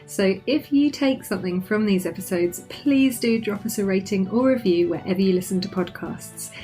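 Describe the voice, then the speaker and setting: A woman's voice: mid-range voice, moderate pace, dark tone, soft background music. Chatty woman hosting a podcast. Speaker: podcast host. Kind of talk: podcast interview.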